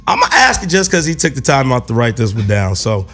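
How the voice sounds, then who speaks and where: A man's voice: mid-range voice, huge pitch swings, a little fast, fairly bright tone. A man from the American Midwest hosting a radio talk show in a goofy, outgoing, joking way. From Gary, Indiana. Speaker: host. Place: radio studio.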